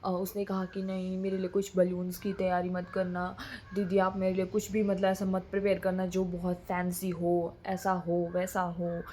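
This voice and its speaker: A woman's voice: fairly low pitch, monotone, moderate pace, mellow, slight background noise. A young woman vlogging in casual, rambling chat, often switching between Hindi and English.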